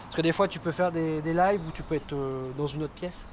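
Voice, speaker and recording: A man's voice: a little high, fairly quick. A man chatting casually about gear, in an informal, colloquial way. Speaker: videographer giving product opinions. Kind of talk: casual chat. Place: outdoors by a street. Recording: outdoors with faint traffic noise.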